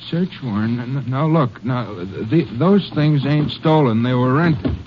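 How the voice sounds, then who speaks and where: A man's voice: mid-range voice, lively intonation, a little slow, lightly acted. An actor playing a nervous, poor man cornered as a suspect in a radio drama, stammering and protesting. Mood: panicked, protesting. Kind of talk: old-time radio drama acting. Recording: noisy, muffled old radio recording.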